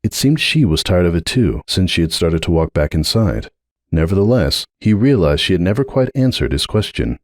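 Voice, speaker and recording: A man's voice: low voice, big pitch swings, moderate pace, dry recording, clean studio sound. A man reading a story aloud as narrator, in a dramatic scripted narration style. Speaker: narrator of fan fiction readings.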